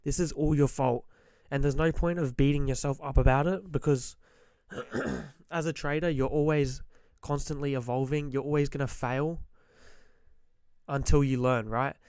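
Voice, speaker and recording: A man who is a bit sick and slightly hoarse, giving blunt, emphatic trading-psychology advice and clearing his throat often. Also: medium pitch, fairly even intonation, moderate pace, darkish tone, dry recording. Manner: direct, emphatic, repeats key points. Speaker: forex trader giving advice. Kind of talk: motivational trading-psychology talk to camera.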